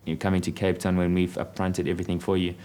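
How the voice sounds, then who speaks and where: A man with a low voice speaks fast in a flat, blunt monotone in a documentary interview. Faint background noise is heard. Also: clear, resonant tone. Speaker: model agency staff.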